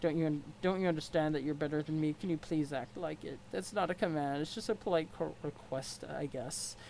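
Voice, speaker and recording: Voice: medium pitch, brisk, vocal fry, darkish tone, a little hiss or hum, lightly acted. A reader voicing a stern, formal male troll with a pompous manner. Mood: flustered. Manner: formal, pompous, stiff diction. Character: stern, haughty male troll of great strength.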